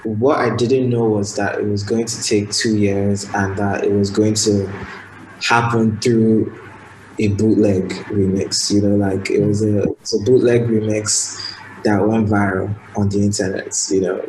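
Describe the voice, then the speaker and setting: Soft-spoken Nigerian man, roomy sound. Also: lowish voice, a little hiss or hum. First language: Igbo. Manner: soft-spoken, relaxed, lots of fillers. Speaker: guest musician. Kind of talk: casual radio interview. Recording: roomy sound with faint ambience.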